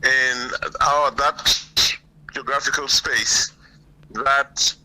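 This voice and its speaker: A man giving earnest, deliberate political commentary on a call, repeating phrases for emphasis. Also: medium pitch, fairly even intonation, bright, forceful tone, low-quality recording. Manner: halting, deliberate, emphatic repetition. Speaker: guest caller, political commentator. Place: remote call.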